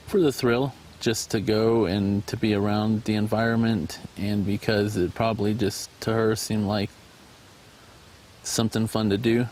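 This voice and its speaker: A man's voice: lowish voice, little pitch movement, a little slow, a little mellow, a little hiss or hum, rough recording. A man speaking casually and plainly in an interview. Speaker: interviewee, former partner.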